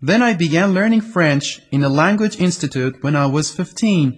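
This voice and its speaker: Male, Persian as first language, measured textbook dialogue, slightly high and lively pitch. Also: breathy edge. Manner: careful, measured reading.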